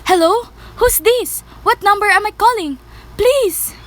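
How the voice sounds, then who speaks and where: A woman's voice: very high-pitched, unhurried, a bit bright. A teenage girl in an amateur radio play, playing an anxious, insistent, sickly woman alone at home. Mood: impatient, confused. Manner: agitated, insistent, pleading. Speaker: student actor.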